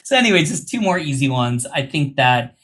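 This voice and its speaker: A man's voice: a little high, very animated, a little fast, a bit bright. A man hosting a podcast in casual, informal interview talk, recovering from vocal surgery. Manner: informal, chatty, joking. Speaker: podcast host.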